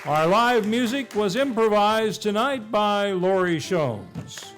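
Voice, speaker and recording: A man's voice: high-pitched, lively intonation, slow, some chatter behind. A man working as announcer, reading scripted closing credits for a live stage radio show. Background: faint music.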